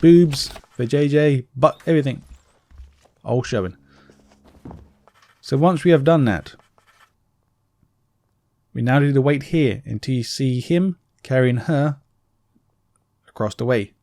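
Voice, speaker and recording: A man's voice: mid-range voice, slow, long pauses, darkish tone, dry recording. A man giving casual, informal gameplay commentary, explaining things step by step in plain words. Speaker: video game walkthrough narrator. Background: game music.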